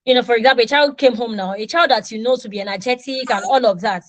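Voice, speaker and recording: A woman's voice: fairly high pitch, quick pace, no echo. A Nigerian woman in her thirties, a native Yoruba speaker, teaching an online English class and playfully acting out example dialogues. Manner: animated, acts out little dialogues with students.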